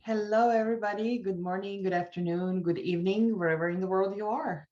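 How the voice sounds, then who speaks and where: A woman's voice: medium pitch, fairly even intonation, brisk, warm tone. Chatty Slovenian-accented woman, lo-fi. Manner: chatty, laughs easily, goes off on tangents. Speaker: guest, life coach. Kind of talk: casual interview chat.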